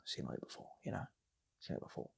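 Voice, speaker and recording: A man's voice: racing, close to an everyday voice. A man voicing a jaded, unfazed PE teacher in a comic story, muttering the same matey grumbles repeatedly. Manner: repetitive muttering.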